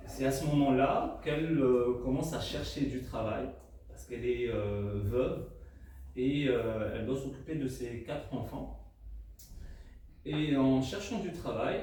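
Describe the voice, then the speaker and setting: A man's voice: medium pitch, a little slow, warm tone. A man whose first language is Arabic presents his book, speaking unscripted and hesitantly, with many fillers and restarts. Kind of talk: unscripted book talk to an audience. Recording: echoey room, mic at a distance, faint noise.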